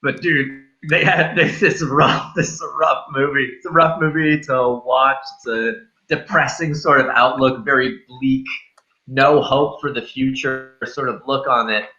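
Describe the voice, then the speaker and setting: A man's voice: medium pitch, steady tone, measured pace, bright, forceful tone, highs cut off. A man hosting a casual movie review chat, speaking informally and jokily with the odd swear word. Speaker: film review show host.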